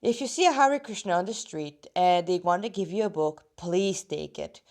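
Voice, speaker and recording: A woman's voice: lowish voice, normal speed, a little mellow. Woman with a slightly rusty voice, rambling. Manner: rambling, digressive, often stopping mid-sentence to comment. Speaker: fan fiction author reading her story aloud. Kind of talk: casual reading aloud with chatty asides.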